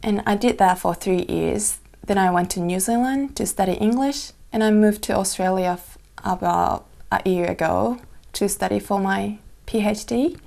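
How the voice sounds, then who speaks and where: A woman's voice: mid-range voice, moderate pace, vocal fry, darkish tone. A young woman from Japan answering exam questions in simple, careful English with small slips. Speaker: test candidate. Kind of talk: mock speaking exam answers.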